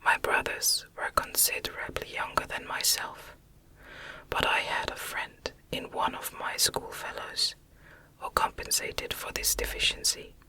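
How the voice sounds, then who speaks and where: A woman whispers a literary narration in ASMR style. The whisper is fully breathy and unvoiced, with a bright, airy edge. Her storytelling is soft and measured. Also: poor audio. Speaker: ASMR audiobook reader. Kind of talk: whispered ASMR reading of a classic novel.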